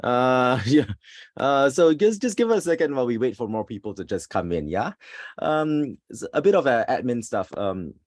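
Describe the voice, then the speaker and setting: A man in his thirties from Singapore hosting a podcast over a video call, halting with many fillers and restarts. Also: medium pitch, big pitch swings, no echo. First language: Singaporean English. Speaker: podcast host and association president. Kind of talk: casual live-stream chat.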